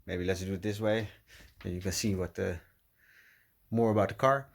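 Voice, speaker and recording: A middle-aged Dutch man with a Dutch accent, speaking English with many pauses and fillers as he shows his car project in a garage. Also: sits low, steady tone, gravelly. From Netherlands. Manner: hesitant, many uhs, apologetic. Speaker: car restoration vlogger. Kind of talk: casual walkaround vlog.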